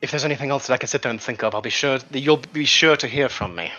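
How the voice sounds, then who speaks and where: A man's voice: mid-range voice, rapid-fire, pushed and bright, highs cut off, soft background music, lightly acted. A man voicing a cautious, formal informant in a fantasy tabletop mystery, choosing his words hesitantly and wordily. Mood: guarded, formal. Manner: hesitant, wordy, formal phrasing. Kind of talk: improvised tabletop roleplay.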